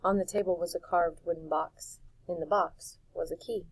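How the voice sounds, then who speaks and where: Audiobook reader, woman, calm measured narration, lower pitch, dry close sound. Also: no echo, poor audio. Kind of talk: storybook narration.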